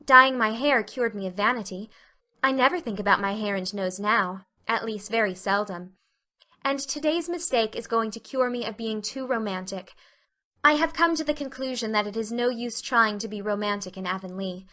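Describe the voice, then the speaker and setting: A woman's voice: a little high, normal speed, sharp tone. A woman voicing a spirited, earnest teenage girl with a theatrical manner, in an audiobook. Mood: optimistic. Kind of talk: audiobook character acting.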